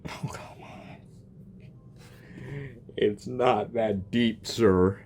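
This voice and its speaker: A man's voice: lowish voice, fairly even intonation, extremely slow, darkish tone, a little hiss or hum. A young man reacting to a video game, rambling and joking and tripping over his words. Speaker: gaming reaction youtuber. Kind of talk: casual reaction commentary.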